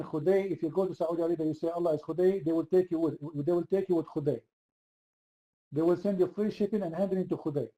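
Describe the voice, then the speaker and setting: A high-pitched man hosting a heated online debate. His voice is very dark and mellow despite the pitch. He hammers the same question over and over. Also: low-quality recording. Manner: insistent, repeats the same question. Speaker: debate host.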